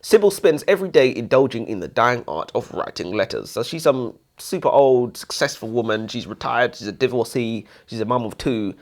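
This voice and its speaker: A man's voice: medium pitch, lively intonation, brisk, hardly any pauses. A man co-hosting a casual book review, speaking bluntly with dry humour.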